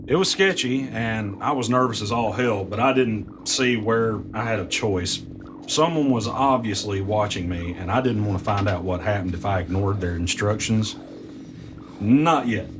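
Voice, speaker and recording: A man's voice: on the low side, close to an everyday voice. An actor playing a wary, nervous audio editor telling his uneasy first-person story in an audio drama. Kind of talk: audio drama narration and dialogue. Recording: clearly noisy background.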